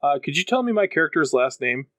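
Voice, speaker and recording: A man's voice: on the high side, sing-song, fast, fairly bright tone, dry recording. A man chatting casually as a player in a tabletop role-playing game.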